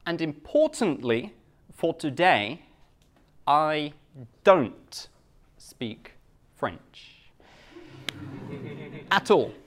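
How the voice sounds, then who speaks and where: British male guest speaker, unhurried, plain words, self-mocking humor. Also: a little high, big pitch swings. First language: English. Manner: simple slow English with self-mocking jokes. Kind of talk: live talk to a Japanese audience.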